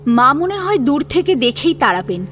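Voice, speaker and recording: High-voiced female story narrator. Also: very animated, normal speed, fairly bright tone. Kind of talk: dramatic scripted narration. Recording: music behind the voice.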